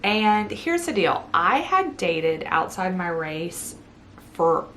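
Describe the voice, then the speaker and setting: Animated woman, lively pitch. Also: a little hiss or hum. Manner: animated, laughs often, fast bursts. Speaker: co-host. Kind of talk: casual couple chat.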